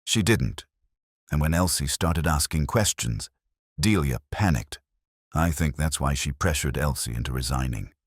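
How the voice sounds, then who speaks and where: A man voicing an ashamed, frightened village woman, soft-spoken and anxious, in an audio mystery story. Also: extremely low pitch, lively intonation, moderate pace, no room sound, studio quality, exaggerated voice. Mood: reluctant.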